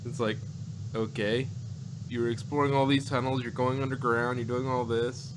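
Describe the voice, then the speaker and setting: A man co-hosting a casual review, talking in a rambling, halting way and acting out imagined reactions. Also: medium pitch, little pitch movement, noisy background. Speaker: podcast co-host reviewing audio dramas. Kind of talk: casual unscripted review chat.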